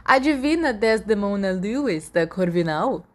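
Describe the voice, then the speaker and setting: A man's voice: high voice, animated, unhurried. A woman reading a storybook voices a cocky, teasing teenage wizard schoolboy with a mock-solemn manner.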